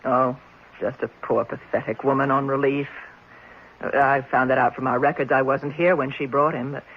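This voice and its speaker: Children's home matron, skeptical and matter-of-fact, vintage radio drama with dull sound. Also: female voice, very deep voice, fairly even intonation, normal speed, breathy edge, heavily acted. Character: brisk, skeptical woman running a children's home. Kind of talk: 1970s radio drama acting. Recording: muffled, slightly noisy old radio broadcast.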